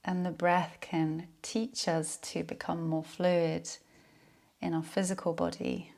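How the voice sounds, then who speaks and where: A woman leading a guided yoga nidra relaxation in a soothing, unhurried, soft-spoken way. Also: lowish voice, flat delivery, creaky.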